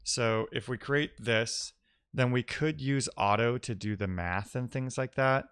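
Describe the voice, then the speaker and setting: A man's voice: medium pitch, measured pace, a bit bright, clear, resonant tone, no echo, studio quality. A man talking casually through technical ideas as a live-coding streamer, musing aloud and posing questions to himself.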